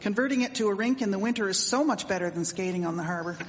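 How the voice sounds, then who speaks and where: A woman's voice: mid-range voice, fast talker, natural voice. A woman playing a prim, exclamatory townswoman of the 1870s in a scripted period radio drama. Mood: admiring. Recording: echoey hall, faint background noise.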